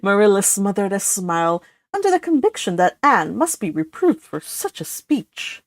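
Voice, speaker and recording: A woman narrates a classic storybook from a script. Her voice sits at an average pitch, and the sound is dry with little room to it. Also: sing-song, normal speed, no room sound.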